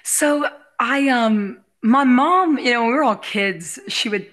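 A woman with a raspy voice chatting casually as an interview guest, in an effusive, giggly, rambling way. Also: on the high side, animated, measured pace, a bit bright. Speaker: guest, realtor and musician.

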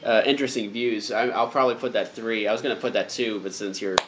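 A man's voice: lowish voice, steady tone, fast talker. A young man with a cold co-hosts a sports podcast, his voice stuffy and congested. He talks through his takes in an easygoing, chatty way. A faint room hum sits behind him. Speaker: podcast co-host. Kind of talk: casual sports podcast chat.

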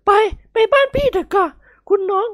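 A woman's voice: extremely high pitch, moderate pace, fairly bright tone, no room sound, clean studio sound, voice pushed up. A woman voicing a plump middle-aged society lady who is effusive, coy and insistent in a story. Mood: delighted, coaxing. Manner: polite, effusive, coy feminine speech.

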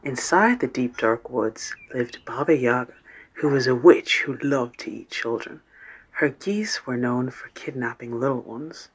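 A man's voice: medium pitch, measured pace, breathy edge, poor audio. A man narrating a children's radio play as a scripted storybook tale.

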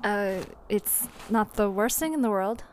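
A woman's voice: medium pitch, a little slow, a little hiss or hum, close to an everyday voice. A woman playing a charismatic young newcomer, polite and a little hesitant but playful, in an audio drama. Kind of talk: naturalistic audio drama acting.

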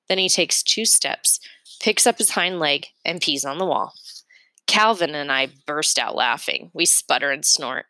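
A woman with a fairly low voice reads a storybook aloud. She narrates in the first person with expression, as in a read-aloud for children. The sound is dry, without room echo. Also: fairly bright tone. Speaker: reader of a children's novel aloud.

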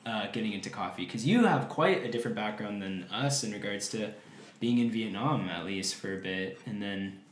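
A man hosting a casual podcast chat, relaxed and peppering his speech with "like". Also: lowish voice, moderate pace, a little hiss or hum. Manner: relaxed, heavy use of "like". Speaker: podcast host. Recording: roomy echo.

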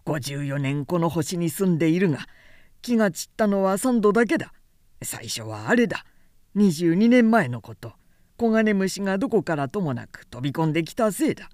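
A woman voicing a busy, gruff older businessman in a storybook reading, preoccupied and brusque. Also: fairly low pitch, sing-song, normal speed, a little mellow, no room sound, studio quality. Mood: irritated. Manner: brusque, preoccupied, muttering numbers.

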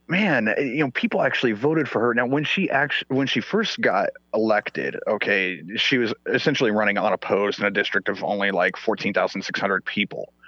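Man from Sweden, native Swedish speaker, blunt crude chatter, husky voice, muffled lo-fi audio. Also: on the low side, pushed and bright, no echo. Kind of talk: casual chat. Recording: dull lo-fi audio.

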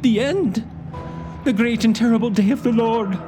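A shrill, raving curate. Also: male voice, moderate pace. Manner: high, wailing, shouting. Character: frantic, half-mad male curate, shrill and raving. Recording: music playing behind.

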